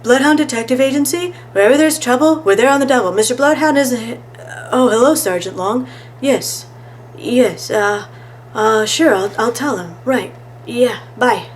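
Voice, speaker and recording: A woman's voice: on the high side, normal speed, voice pushed up, close to an everyday voice. Storybook boy sleuth, eager and a bit puzzled, voiced by a woman, light background noise. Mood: flustered, eager.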